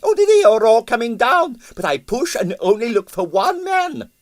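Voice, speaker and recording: A man's voice: extremely high pitch, sing-song, moderate pace, voice pushed up. A man voicing a blustering, evasive Russian ballet impresario in broken English with a Russian accent, in a storybook reading. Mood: indignant, evasive.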